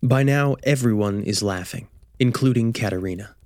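A man's voice: mid-range voice, normal speed, dry recording, clean studio sound. A man reading scripted radio drama narration in a storytelling manner. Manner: storytelling, posing rhetorical questions. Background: soft plucked guitar music.

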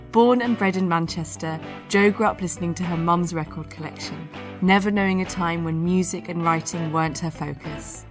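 Woman reading a podcast intro over music. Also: lowish voice. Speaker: podcast host. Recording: clear music bed under voice.

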